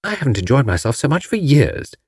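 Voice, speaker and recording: A man voicing a bright, cheeky young British wife in a breezy, teasing manner for an audiobook. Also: mid-range voice, wildly swooping pitch, brisk, husky, no echo, clean studio sound. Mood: cheerful.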